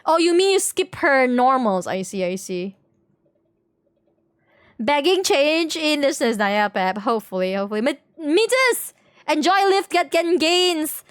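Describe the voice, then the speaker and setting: Chatty, higher-voiced woman streamer. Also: very animated, a little slow, no room sound, studio quality. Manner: chatty, rambling, slangy, repeats phrases. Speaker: game livestreamer. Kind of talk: casual stream chat with viewers.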